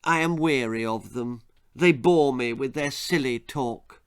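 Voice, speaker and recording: A man's voice: medium pitch, measured pace, clean tone, lightly acted. A man voicing a precocious, haughty little girl who talks in a prim, formal, curt way in a children's story. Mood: weary disdain. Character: precocious, haughty, stern two-year-old girl genius.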